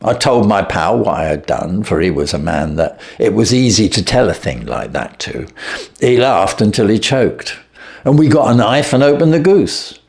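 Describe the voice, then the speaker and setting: A man's voice: fairly low pitch, expressive, vocal fry, a little mellow. A man voicing a desperate young thief. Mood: anxious. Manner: desperate, pleading, rushed. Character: frightened young male thief confessing.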